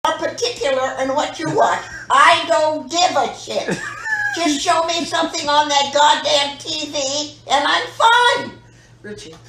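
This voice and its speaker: A woman's voice: high-pitched, lively intonation, measured pace, a bit bright, a little hiss or hum. A woman in her mid-nineties chatting at home, blunt and feisty, swearing freely. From United States. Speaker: grandmother filmed for family social clips. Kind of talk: casual home chat. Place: living room, indoors. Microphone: phone camera a few feet away. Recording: roomy, echoing home phone video.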